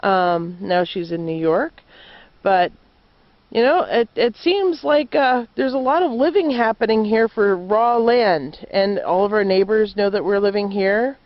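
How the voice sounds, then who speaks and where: New woman, earnest and measured, muffled lo-fi recording. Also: medium pitch, animated. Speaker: homesteader. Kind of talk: plain spoken statement.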